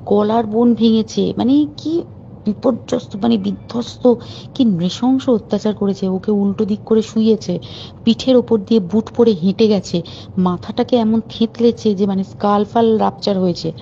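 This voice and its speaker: Woman caller, indignant and urgent, mellow voice, muffled phone recording with faint hiss. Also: medium pitch, moderate pace, creaky. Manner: indignant, urgent, talking to friends informally. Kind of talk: private phone call, recounting events.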